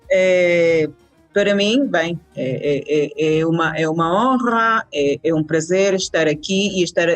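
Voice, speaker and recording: Earnest Venezuelan woman guest. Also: fairly low pitch. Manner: warm, earnest, with repeated restarts. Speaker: university department head. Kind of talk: interview answers.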